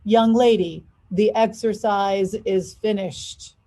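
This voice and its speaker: A woman's voice: mid-range voice, fairly even intonation, slow pace, mellow. A woman voicing a strict, exacting male ballet master who scolds in emphatic, clipped commands, in a storybook reading. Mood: stern.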